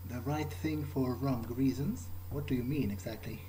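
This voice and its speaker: A man improvising in-character dialogue as a gamer, in a hesitant, low-key way. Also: normal speed, noisy background.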